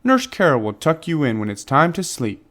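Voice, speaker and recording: A man voicing a kindly, patient guardian of a little monkey in a children's storybook, gentle and fatherly. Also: a little high, sing-song, moderate pace. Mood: gentle, reassuring.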